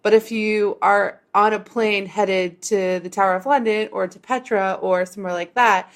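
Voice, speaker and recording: A woman hosting a travel history podcast, talking casually and quickly with frequent laughs. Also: mid-range voice, fairly even intonation. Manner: chatty, quick, laughs between phrases. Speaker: podcast host.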